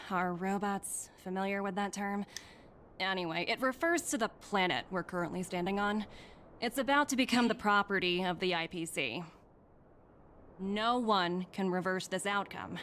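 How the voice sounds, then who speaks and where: Smooth-talking young female corporate envoy for a game: mid-range, businesslike, confident. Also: medium pitch, fairly bright tone, a little hiss or hum. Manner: poised, persuasive, businesslike. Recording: light music behind the voice.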